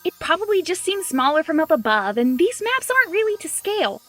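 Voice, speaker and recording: A woman's voice: extremely high pitch, sing-song, fairly quick, fairly bright tone, soft background music, exaggerated voice. A woman voicing an earnest, anxious young female unicorn pony in a dramatised fan fiction story. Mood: uneasy reassurance.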